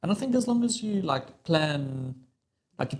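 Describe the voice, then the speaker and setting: A man's voice: fairly high pitch, animated, unhurried. A young man, a researcher guest in casual chat, talking with lots of fillers and thinking aloud. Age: young adult.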